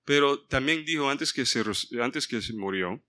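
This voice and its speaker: Bright-voiced man leading a Bible study. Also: medium pitch, lively intonation, moderate pace. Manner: mixes in English words, stops to ask the group for help. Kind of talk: informal Bible study sermon.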